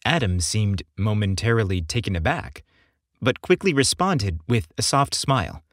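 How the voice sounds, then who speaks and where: A man's voice: fairly low pitch, expressive, normal speed, no echo, studio quality. A man narrating a scripted story as an audiobook reader.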